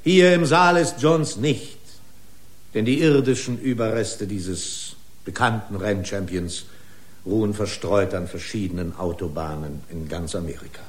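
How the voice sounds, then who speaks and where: An actor playing an indignant, officious company lawyer pleading in court with pompous, long-winded legalese in a radio drama. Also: male voice, fairly low pitch, unhurried, natural voice. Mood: haughty, formal. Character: indignant, officious corporate attorney arguing in court. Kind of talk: German radio drama acting.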